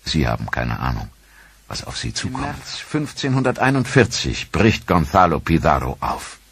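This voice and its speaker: A man narrating a scripted historical documentary in a measured, dramatic storytelling manner. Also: deep voice, very animated, creaky, a bit bright, breathy edge, dry recording.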